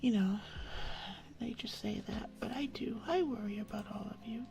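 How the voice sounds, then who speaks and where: A woman hosting an ASMR live stream, chatting casually and affectionately to viewers in a soft, breathy voice that often drops into a whisper. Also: medium pitch, moderate pace, background noise. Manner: affectionate, intimate, uses pet names.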